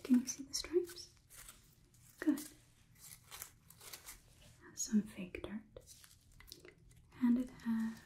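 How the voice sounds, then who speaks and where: A young woman performing an ASMR roleplay as a calm robot overlord, whispering in a measured, inquisitive, slightly condescending manner. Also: a little high. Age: young adult. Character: calm, clinical robot overlord examining a human. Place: small indoor room.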